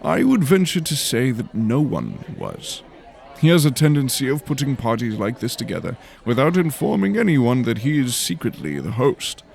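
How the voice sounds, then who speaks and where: A man voicing a composed, proper nobleman with formal, measured diction in a fantasy audio drama. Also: medium pitch, wildly swooping pitch, gravelly, darkish tone, voice pushed up.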